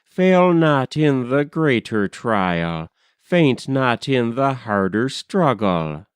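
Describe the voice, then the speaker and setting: A man voicing gentle, mournful ghosts of the dead in a grave, incantatory verse recitation. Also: medium pitch, big pitch swings, takes it slowly, clean tone, no room sound. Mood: solemn farewell. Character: gentle, mournful ghosts of the departed, solemnly counseling.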